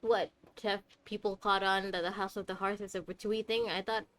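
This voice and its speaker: A woman's voice: medium pitch, level tone, a little fast, fairly bright tone. A woman streamer casually chatting and joking as she plays a game, talking back to the characters. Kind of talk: casual live game commentary.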